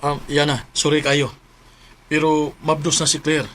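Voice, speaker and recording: An actor playing an unfaithful boyfriend in a radio melodrama, apologetic but firm. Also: male voice, a little high, little pitch movement, a little slow, fairly bright tone. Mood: apologetic, cold. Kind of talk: melodramatic radio drama acting.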